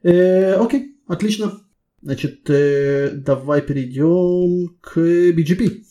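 A man's voice: a little high, slow, dark tone. A man conducting a spontaneous technical job interview, asking probing questions one step at a time.

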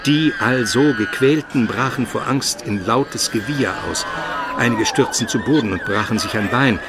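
A man's voice: medium pitch, moderate pace, heavy background noise, natural voice. A man playing an educated Byzantine minister who narrates his memories solemnly and vividly in a radio play. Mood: horrified, solemn. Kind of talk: dramatic scripted first-person narration, radio play.

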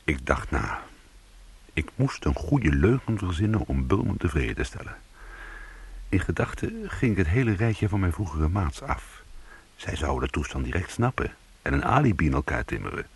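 Casting: streetwise, world-weary crook for radio noir, very raspy low voice, hard-boiled. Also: male voice, very animated, normal speed, exaggerated voice. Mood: musing. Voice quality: husky, gravelly. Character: world-weary, streetwise male crook telling his own story. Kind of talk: radio crime drama, first-person narration and dialogue.

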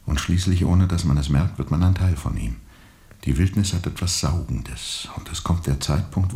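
A man acting a brooding, haunted steamboat captain in a radio drama, grave and measured, often sinking toward a whisper. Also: rumbling low, vocal fry, mellow, exaggerated voice. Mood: haunted, hushed. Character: brooding, haunted steamboat captain recalling a colonial river journey.

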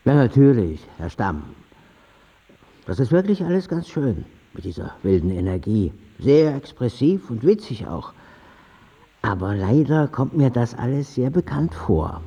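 A man's voice: mid-range voice, very animated, measured pace, warm tone, slightly rough, heavily acted. An actor playing a condescending, dismissive male art professor in a German radio play, speaking in a pointed, patronising way. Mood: politely patronising.

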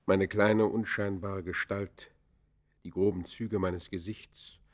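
An actor playing an embittered outcast poacher in a German radio play, confessing in formal, declamatory phrases with dramatic swells and hushed falls. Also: male voice, deep voice, little pitch movement, slow. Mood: bitter, confessional. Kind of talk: German radio play acting, literary monologue.

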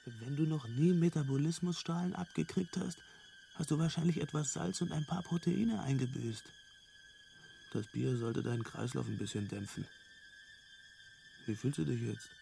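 An actor playing a laid-back, knowing alien hitchhiker in a German radio drama, casual, matter-of-fact and amused. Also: male voice, a little high, normal speed, gravelly, mellow, slight background noise.

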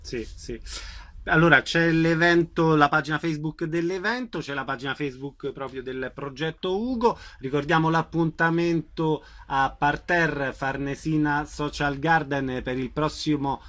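A man's voice: a little high, a little slow, a bit bright. A man hosting a live radio interview.

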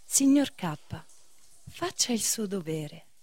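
An actress playing an insistent woman in a radio play, urging in drawn-out phrases with a husky voice. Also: mid-range voice, sing-song, mellow, no room sound. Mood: urging, pressing. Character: insistent woman urging an accused man to speak. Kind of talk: theatrical radio drama acting.

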